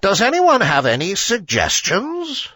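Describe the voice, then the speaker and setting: A wise old storybook owl, acted out by a man, pitched high and swooping with emphasis. He hoots with impatient authority, and the voice is husky, recorded close and dry. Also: huge pitch swings, unhurried, bright, forceful tone, no echo, heavily acted. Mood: commanding. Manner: authoritative, emphatic hooting delivery. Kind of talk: children's storybook character reading.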